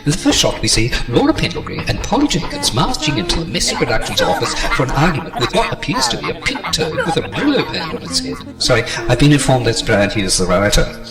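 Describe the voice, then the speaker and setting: A man playing a bored, offhand audio-description guide, giving a brisk mock narration in a comedy audio drama. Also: mid-range voice, huge pitch swings. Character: Brendan, bored, matter-of-fact male audio-description guide. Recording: echoey, loud music bed.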